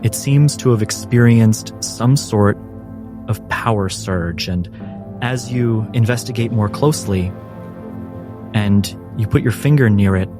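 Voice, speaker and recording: A man narrating a tabletop game as game master in a measured storytelling way. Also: lowish voice. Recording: music bed under narration.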